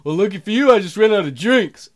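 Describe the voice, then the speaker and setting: A man plays a fussy Old West bartender in a game. His voice is high and swings widely in pitch, with an indignant, complaining manner. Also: lively intonation, fast.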